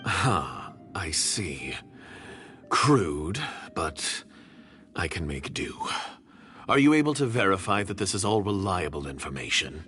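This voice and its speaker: An actor playing a cold, cautious agent villain in a video game, measured and disdainful. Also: male voice, low-pitched, big pitch swings, bright, forceful tone, full character voice. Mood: cold, guarded. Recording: game dialogue with music behind.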